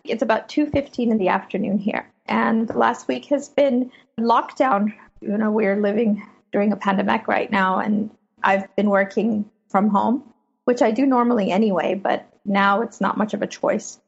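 A woman from Afghanistan, a native Persian speaker, speaking as a journalist guest in a measured, reflective way from her home office. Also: mid-range voice, steady tone, gravelly, breathy edge. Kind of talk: interview over remote link. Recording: muffled lo-fi remote audio.

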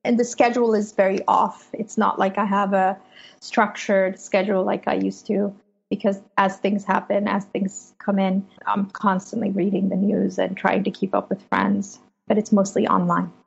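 A woman from Afghanistan, a native Persian speaker, speaking as a journalist guest in a measured, reflective way from her home office. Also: medium pitch, gravelly, slightly rough. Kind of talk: interview over remote link. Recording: muffled lo-fi remote audio.

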